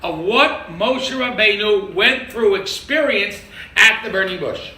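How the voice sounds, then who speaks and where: An older man teaching a lively interactive Torah class as a rabbi, quizzing his audience and joking. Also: sits high, a little slow. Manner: animated, quizzes the audience, jokes. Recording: echoey room from far off with noise.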